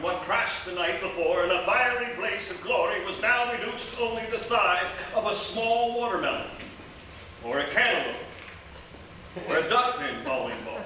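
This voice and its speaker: A man's voice: sits high, sharp tone. A man playing a pompous, portentous narrator in live comedic radio theatre, reading in a theatrical style. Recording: echoey live theatre, far mic, faint noise.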